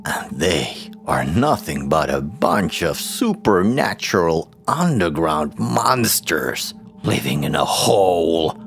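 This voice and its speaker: A man's voice: low voice, very animated, slow, gravelly, a bit bright, soft background music, heavily acted. A man voicing a stubborn, greedy farmer in an audio drama folktale, whining and self-justifying. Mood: self-righteous, pleading.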